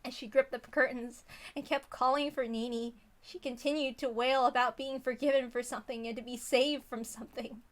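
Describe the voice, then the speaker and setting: A woman's voice: high-pitched, steady tone, brisk. A woman reading a visual novel aloud as dramatic narration.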